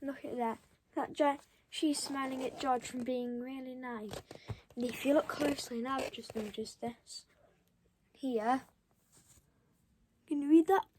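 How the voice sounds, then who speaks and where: High-voiced young storybook reader, slow and deliberate. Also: steady tone, noisy background. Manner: halting, commenting on pictures to listeners. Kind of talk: read-aloud storytelling with chatty asides.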